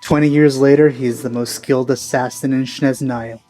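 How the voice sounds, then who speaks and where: A man's voice: mid-range voice, fairly even intonation, moderate pace, mellow, soft background music. A man giving casual, wry commentary as a let's play host. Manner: wry, teasing remarks.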